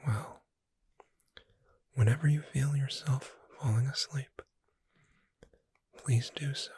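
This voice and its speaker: A woman playing a gentle witch bookseller in a breathy, soothing, whispered ASMR sleep roleplay. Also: a bass voice, no room sound, exaggerated voice. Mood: gentle, caring. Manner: slow, soothing, deliberate. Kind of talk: soft-spoken ASMR sleep roleplay, guided relaxation.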